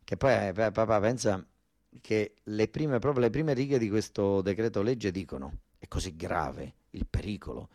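A man's voice: fairly low pitch, moderate pace, a little mellow. An actor playing an agitated southern Italian son, a worker from Basilicata pleading with his father in a theatrical dialect monologue, repeating himself and stumbling over words. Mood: subdued, confiding.

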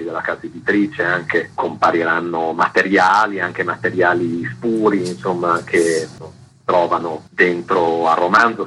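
A man, a novelist talking in a measured way in an interview. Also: sits low, a bit bright, breathy edge, poor audio. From Italy.

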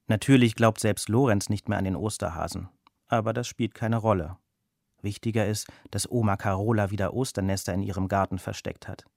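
A man's voice: on the low side, steady tone, fairly quick, creaky, darkish tone, no room sound, clean studio sound. A man narrating a children's story in a scripted storybook style.

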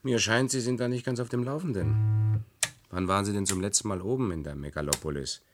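A man's voice: fairly low pitch, brisk, noisy background, lightly acted. An actor playing a sceptical younger trainee in a radio play, questioning and challenging in his manner. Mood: incredulous. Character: sceptical, probing younger male trainee worker.

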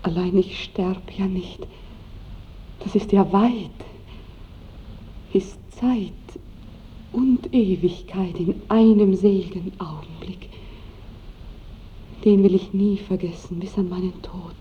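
A woman's voice: mid-range voice, slow, long pauses, lightly acted. A woman playing an innocent, very young noble bride in a radio play, girlish and fervent. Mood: hushed rapture. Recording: muffled, echoey 1960s radio with noise.

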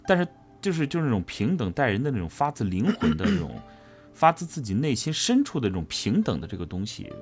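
Male interviewee, voice actor. Animated, emphatic, explanatory, conversational, with faint music underneath. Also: mid-range voice, fairly quick. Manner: emphatic, repeats phrases for stress. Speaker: voice actor interviewed about his character. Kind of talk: casual explanatory interview answer.

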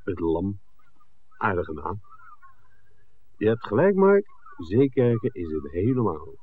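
Narrow-band vintage radio, wry screenwriter, lowish voice, dry, teasing, level-headed. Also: male voice, big pitch swings, slow pace, slightly rough, exaggerated voice. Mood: relaxed. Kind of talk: 1960s Dutch radio play acting. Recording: thin, muffled 1960s radio recording.

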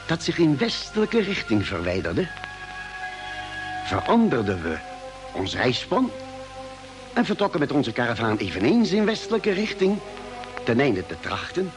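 Formal radio-play narrator, lively intonation. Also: male voice, medium pitch. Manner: formal, measured storytelling. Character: male expedition member solemnly recounting a space journey. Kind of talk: scripted narration, old Dutch radio drama.

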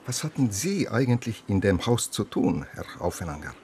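Proud estonian cop in radio drama, lowish voice, formal n a bit superior, slight noise behind. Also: male voice, very animated, moderate pace, a little mellow. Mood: cool, proud. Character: proud, matter-of-fact Estonian policeman questioning a visitor. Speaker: actor in a radio play. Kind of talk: German radio crime drama dialogue.

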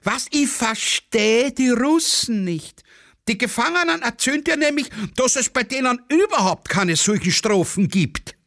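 Ein Mann spielt einen verwunderten zweiten Offizier. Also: male voice, very high voice, lively intonation, measured pace, fairly bright tone, dry recording, clean studio sound, voice pushed up, full character voice. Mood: puzzled.

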